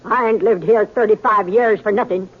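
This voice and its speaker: A woman's voice: mid-range voice, steady tone, moderate pace, close to an everyday voice. An older woman playing a stubborn, proud old frontier prospector in a radio western, with folksy, defiant talk. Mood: stubborn, assured. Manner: folksy, defiant, rustic grammar. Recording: tinny, band-limited vintage radio recording.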